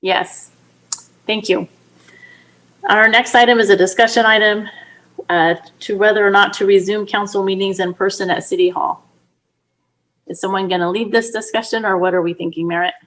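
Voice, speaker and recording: A woman mayor with a soft voice that does not carry far. She runs the council meeting in a plain, direct way, with her pitch in an ordinary middle range. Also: fairly even intonation, moderate pace, long pauses.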